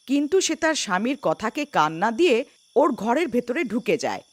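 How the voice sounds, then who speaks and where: A woman's voice: a little high, sing-song, moderate pace, no room sound. A woman narrating a scripted cartoon folk tale as a storyteller.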